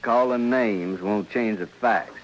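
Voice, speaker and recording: An American actor playing a belligerent, sneering frontier rabble-rouser in an old-time radio western, theatrical and emphatic. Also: male voice, lowish voice, slow pace. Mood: accusing, scornful. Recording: muffled vintage radio recording, hissy.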